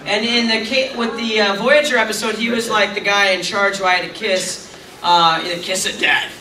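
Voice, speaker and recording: A man's voice: sits high, steady tone, moderate pace, bright, forceful tone. A middle-aged man answering fans' questions at a convention panel, chatty and rambling, with self-mocking asides. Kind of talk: casual Q&A with fans. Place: large convention hall. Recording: echoey hall taped from far off, noisy.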